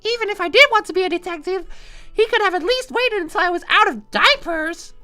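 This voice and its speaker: A man voicing a petulant, whiny eighteen-year-old schoolgirl in an exaggerated falsetto for a visual novel game. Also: expressive, brisk, bright, forceful tone, faint music behind, full character voice. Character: whiny eighteen-year-old schoolgirl who hates class and her father.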